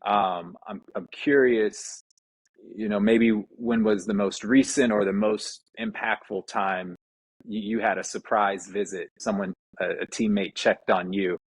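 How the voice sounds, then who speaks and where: A man's voice: on the low side, fairly even intonation, measured pace, husky, no room sound, rough recording. A man hosting a conversational interview, talking in an earnest, reflective way. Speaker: interviewer, podcast host.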